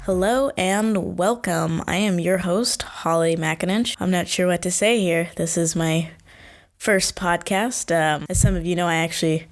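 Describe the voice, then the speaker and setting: A woman's voice: on the low side, measured pace, soft background music. A young woman hosting her first solo podcast, chatting casually and rambling in circles with frequent self-corrections. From Washington State, USA. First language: born in Kenya. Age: young adult. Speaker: podcast host.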